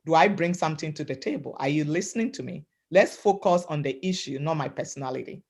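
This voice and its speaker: A woman from Africa speaking on a webinar panel, giving an emphatic motivational talk in a loud voice over a video call and often asking "right?". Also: deep voice, fast talker. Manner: loud voice, emphatic, repeats "right?" and "you know".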